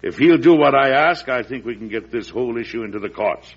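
An actor playing an embattled, indignant US president in an emphatic, oratorical 1940s radio drama style. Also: male voice, mid-range voice, expressive, quick pace, breathy edge. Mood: tense, determined. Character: embattled male US president, defiant, restless and indignant. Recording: muffled vintage radio recording.

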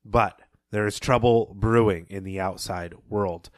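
A lower-pitched man retells a story's plot on a podcast with a measured, thoughtful delivery. His voice sits close to the mic with no room echo, dry and intimate. He breaks into soft laughs now and then. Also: steady tone. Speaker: podcast co-host. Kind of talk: casual book discussion and plot recap.

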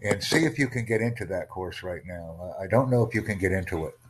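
A man's voice: low-pitched, fast, noisy background. A man hosting a casual video chat, earnestly promoting his language course and repeating himself. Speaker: language-learning channel host.

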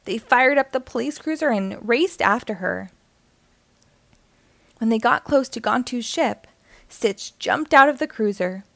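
A woman's voice: on the high side, vocal fry, fairly bright tone, dry recording. A woman reading a children's story aloud as scripted narration.

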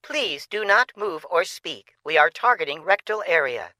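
A man voicing a disembodied female security computer that intones formal announcements in a calmly officious way for a comic audiobook. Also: on the high side, normal speed, no room sound, pitched above the performer's own voice. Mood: impassive.

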